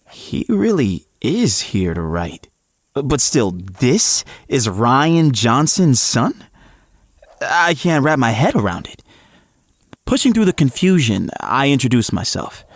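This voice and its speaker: An actor playing an eager, frazzled young male athlete, narrating his own story in an audio drama with expressive, at times hesitant delivery. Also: mid-range voice, no echo, clean studio sound. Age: young adult. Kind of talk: first-person audio drama narration and dialogue.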